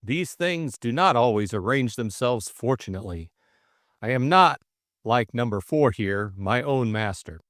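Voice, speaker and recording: A man's voice: mid-range voice, lively intonation, measured pace, fairly bright tone, no echo. An elegant young plotter, played by a man, from an audiobook thriller. He speaks careful, stilted English, in a dry, close recording. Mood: stiff, aggrieved. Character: elegant, formal young foreign conspirator.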